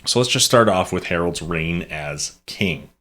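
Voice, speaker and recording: A man with a fairly low voice hosts a relaxed history podcast. He explains the topic in a loose, rambling way, stumbling and correcting himself as he goes. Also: a little slow. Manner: rambling, many fillers and restarts, self-correcting. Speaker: podcast co-host presenting the topic. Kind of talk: casual history podcast chat.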